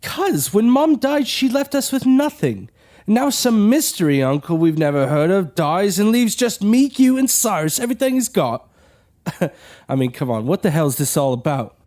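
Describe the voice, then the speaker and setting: Man acting blunt, animated young brother. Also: high-pitched, moderate pace. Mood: suspicious, indignant. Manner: blunt, questioning, informal. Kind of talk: audio drama acting.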